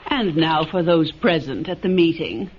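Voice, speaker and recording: A man's voice: fairly high pitch, animated, normal speed, close to an everyday voice. A man playing a cool, officious presiding lord in a radio drama, formal in manner, on a dull vintage recording with some noise. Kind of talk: golden-age radio drama acting.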